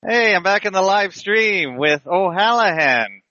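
A man's voice: sits high, expressive, measured pace, bright, forceful tone, dull audio. A man hosting a casual internet radio show, talking in a rambling, opinionated way. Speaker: podcast host. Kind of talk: casual online radio chat.

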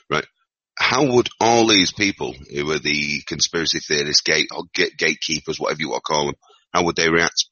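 A man's voice: rumbling low, bright, forceful tone, no echo. A man with a Northern English accent in casual discussion, rambling and repeating words as he thinks aloud. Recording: muffled lo-fi recording.

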